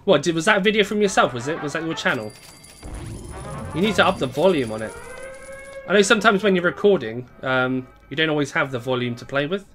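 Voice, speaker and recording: Young guy streaming a game from home, voice a bit hoarse, bright and bouncy, faint music behind. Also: on the high side, expressive, a little fast. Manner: chatty, greets viewers, reads chat aloud. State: hoarse, voice going. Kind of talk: casual live-stream chat. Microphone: desk mic.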